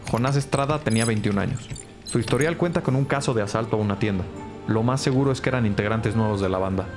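An actor playing a police analyst in an audio drama, reporting findings in a precise, formal, unemotional way. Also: male voice, lowish voice, fast talker, music in the background, natural voice. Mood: factual, composed.

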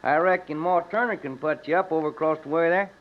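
An actor playing a friendly, folksy old-West cowhand in a radio western, with an American Western accent and drawl. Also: male voice, on the high side, fast talker, lightly acted. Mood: easygoing. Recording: dull vintage radio recording.

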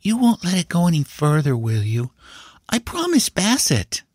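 An earnest little boy played by a grown man speaks in a raised, light pitch. He is polite and insistent, with a grave, secretive air suited to a storybook nephew. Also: wildly swooping pitch, normal speed, darkish tone, husky, no room sound, clean studio sound, exaggerated voice. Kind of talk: audiobook character dialogue.

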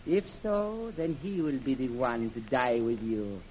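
An actor playing an imperious, cruel and suspicious villain prince in 1930s radio serial style, theatrical and haughty. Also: male voice, medium pitch, very animated, unhurried, a little mellow, slightly rough. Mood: accusing. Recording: muffled, noisy old radio recording.

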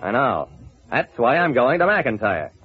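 An actor playing a shrewd, hard-boiled investigator in an old-time radio drama, wry, clipped and confident. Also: male voice, medium pitch, very animated, measured pace, heavy background noise. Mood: probing, firm. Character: shrewd, hard-boiled male investigator telling his case. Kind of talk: old-time radio detective narration and acting. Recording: muffled vintage radio recording.

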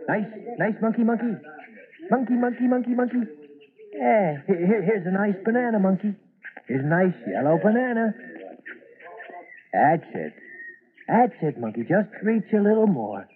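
Eager young American rookie fireman, high voice. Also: very animated, moderate pace, pauses often. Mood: coaxing. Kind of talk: old-time radio drama acting. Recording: phone-like vintage radio recording, background commotion.

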